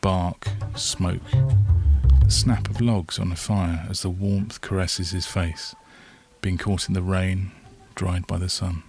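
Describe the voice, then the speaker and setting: A man reading a story as scripted narration, in measured short phrases with dramatic pauses. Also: sits low, flat delivery, vocal fry. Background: soft plucked guitar soundtrack.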